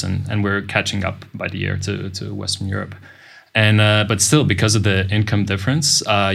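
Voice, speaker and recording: Middle-aged man with a deep, gravelly voice, explaining calmly as an interview guest. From Latvia. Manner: measured, explanatory, frequent "and, uh" restarts. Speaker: podcast guest. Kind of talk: casual interview talk.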